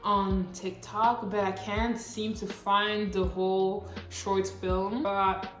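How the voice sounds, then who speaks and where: A woman's voice: mid-range voice, little pitch movement, slow. A woman in her late teens, a non-native English speaker, chatting upbeat in a casual scripted vlog with slangy asides. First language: not English. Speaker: vlogger. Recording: echoey room with faint music.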